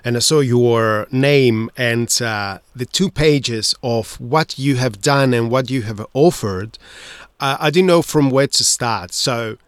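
A man's voice: medium pitch, slow pace, clear, resonant tone, no echo. A man hosting a radio interview, asking polite and somewhat hesitant questions with many fillers. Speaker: radio host.

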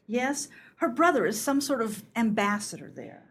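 A woman's voice: a little high, lively intonation, normal speed, breathy edge. A woman acting an eager, gossipy and silly book club lady in a radio play, gushing and conspiratorial.

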